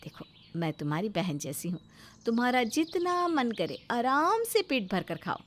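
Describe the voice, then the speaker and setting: A woman's voice: on the high side, big pitch swings, moderate pace. A woman voicing a jealous, touchy elder daughter-in-law in a family story, with a sarcastic, defensive manner. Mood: falsely sweet.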